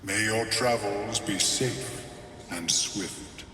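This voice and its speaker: Measured scholarly mind flayer. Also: male voice, fairly low pitch, bright, forceful tone, a little hiss or hum, full character voice. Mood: explaining. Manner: precise, measured, learned. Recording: echoey reverberant room.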